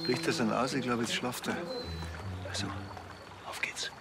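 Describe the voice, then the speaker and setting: A man's voice: mid-range voice, steady tone, moderate pace, close to an everyday voice. An actor playing an easygoing, joking policeman in a television crime comedy. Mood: dry, joking. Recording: loud music behind the voice.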